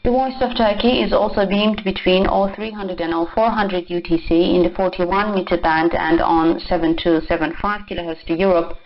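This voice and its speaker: A woman with a fairly low voice reads a formal station announcement. The sound is a dull shortwave radio broadcast with faint static behind her. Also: steady tone, moderate pace, hardly any pauses. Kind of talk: scripted station identification, formal reading.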